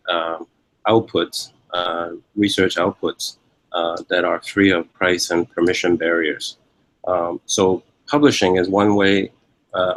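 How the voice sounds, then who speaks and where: Low-voiced man with a Hong Kong accent. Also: a bit bright, low-quality recording. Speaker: academic interviewee. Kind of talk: reflective interview answers.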